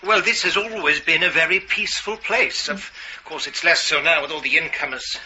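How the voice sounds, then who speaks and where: An actor playing a staid, self-important village vicar giving a television interview in a radio drama. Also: male voice, fairly high pitch, brisk, muffled recording, noisy background, lightly acted. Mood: measured.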